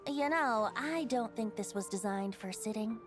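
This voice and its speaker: Woman voicing a carefree, high-voiced young bard deity. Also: lively intonation, normal speed, music in the background, close to an everyday voice. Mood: playful teasing. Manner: playful, wry, theatrical. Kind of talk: scripted video game voice acting.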